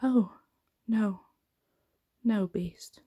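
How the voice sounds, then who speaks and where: A woman reader plays a kind young storybook heroine, honest and sincere. Her voice is mellow, soft and breathy, with a gentle delivery. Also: mid-range voice, drawn-out words, dry recording, lo-fi sound, pitched above the performer's own voice. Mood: distressed.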